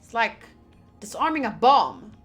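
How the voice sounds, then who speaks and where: Girl streamer doing a visual novel playthrough, slightly high voice, reads the story out n chats about it. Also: big pitch swings, a little slow, fairly bright tone, breathy edge, soft background music. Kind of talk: visual novel playthrough, reading narration aloud with casual commentary.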